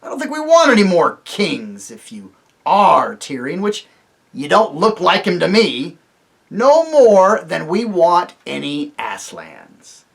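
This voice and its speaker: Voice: medium pitch, sing-song, slow pace, creaky, voice pushed up, exaggerated voice. A man voicing a surly, sneering male dwarf, blunt and jeering, in a storybook reading. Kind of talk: audiobook reading.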